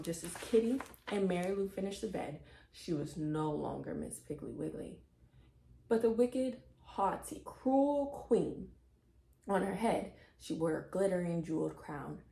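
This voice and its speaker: A woman narrates a children's story with expressive, scripted delivery. Her voice is slightly low and very mellow. The recording sounds roomy and echoey. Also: a little slow, gravelly.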